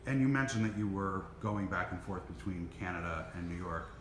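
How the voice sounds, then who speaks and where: A man's voice: low voice, a little fast. A man hosting a casual interview, chatty and encouraging, often backing up his guest. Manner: chatty, encouraging, frequent "mm-hmm". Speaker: interview host. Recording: echoey room with noise behind.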